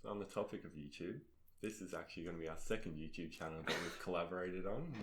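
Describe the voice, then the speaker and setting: A young man from Australia co-hosting a casual podcast chat, dropping dry, deadpan one-liners. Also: deep voice, quick pace. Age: young adult. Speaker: podcast co-host.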